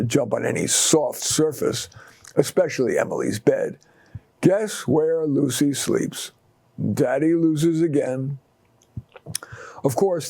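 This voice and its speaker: A man's voice: a little high, darkish tone, breathy edge, dry recording. A man reading his own short stories aloud in a conversational, wry storytelling style.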